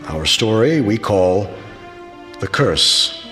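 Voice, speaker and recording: A male narrator with a deep voice introduces a play in scripted storytelling style. He is measured and portentous, with music playing behind him. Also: big pitch swings, bright, forceful tone.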